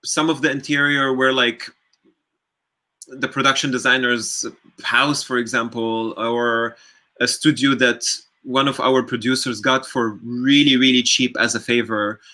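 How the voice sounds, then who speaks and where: A man from Lebanon, a filmmaker, speaking slowly and hesitantly on a panel, often repeating words. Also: mid-range voice, steady tone, bright, forceful tone. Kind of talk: panel discussion.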